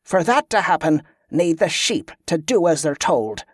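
Fantasy audiobook, stern woman commander played by a man, raised pitch, scornful, clipped orders, inverted dialect phrasing, dry sound. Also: sing-song, measured pace, husky, no echo, exaggerated voice. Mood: commanding, urgent.

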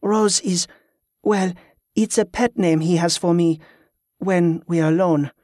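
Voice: medium pitch, unhurried, a little mellow, dry recording, clean studio sound, natural voice. A narrator voicing a loyal, earnest wife who protects her husband, in an audiobook. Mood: sheepish.

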